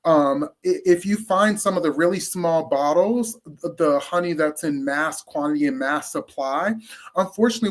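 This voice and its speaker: A man speaking as a panelist, explaining things in an informal, unscripted way. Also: fairly high pitch.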